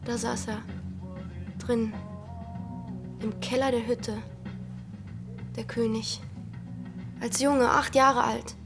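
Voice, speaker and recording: Brooding young village girl, highish voice, intense broken phrases, radio play w music under. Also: steady tone, slow pace, pauses often. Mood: dreamy, haunted. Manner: intense, short fragmented phrases. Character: restless, brooding village girl, haunted and bitter. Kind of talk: radio drama acting.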